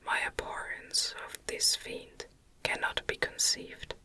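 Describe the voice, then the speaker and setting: A woman reading a novel aloud as ASMR in an unvoiced, breathy whisper, with a gentle, soothing delivery. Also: slow pace. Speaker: audiobook reader.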